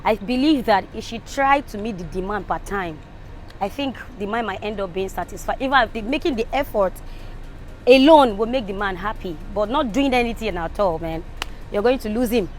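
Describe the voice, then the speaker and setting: A woman's voice: mid-range voice, expressive, moderate pace. Nigerian female interviewee, everyday register, casual talk, light music behind. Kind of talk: casual opinion.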